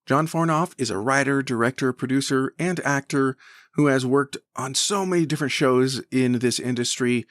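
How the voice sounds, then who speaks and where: A man's voice: mid-range voice, moderate pace, fairly bright tone, no room sound. A man hosting a podcast, chatting casually as he interviews guests.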